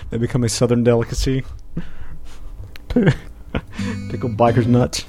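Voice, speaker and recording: Joking, informal man, mid-pitched voice. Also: little pitch movement, normal speed, mellow, soft background music. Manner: joking, crude, teasing. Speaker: podcast co-host. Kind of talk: casual chat.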